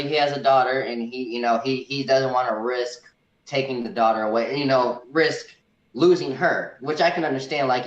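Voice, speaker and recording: A man co-hosting a casual movie discussion, speaking haltingly and often repeating words as he works out his points. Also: mid-range voice. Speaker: co-host of a movie review show. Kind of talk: casual film discussion with live comments. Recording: dull, echoey room sound.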